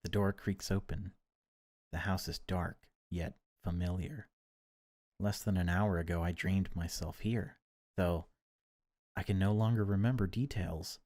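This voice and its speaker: Low-voiced man narrating horror. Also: fairly even intonation, measured pace, a little mellow, no echo, clean studio sound. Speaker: gaming video creator reading a visual novel aloud. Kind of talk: dramatic narration of horror game text.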